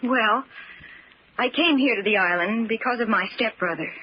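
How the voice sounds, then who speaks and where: A woman's voice: on the high side, normal speed, natural voice. A woman playing an earnest, protective young stepsister in an old-time radio drama, with a pleading manner. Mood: earnest recounting. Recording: noisy, muffled old radio recording.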